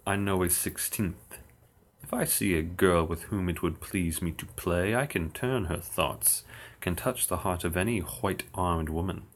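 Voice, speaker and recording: A man reciting old verse slowly and steadily on his livestream, with dry asides. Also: sits low. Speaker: occult streamer. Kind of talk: reading verse aloud on a livestream.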